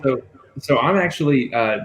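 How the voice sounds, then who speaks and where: A man's voice: mid-range voice, expressive, normal speed, fairly bright tone. Friendly male interview host. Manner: friendly, asking questions, brief acknowledgments. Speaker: podcast host. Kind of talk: casual interview. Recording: echoey room, faint noise.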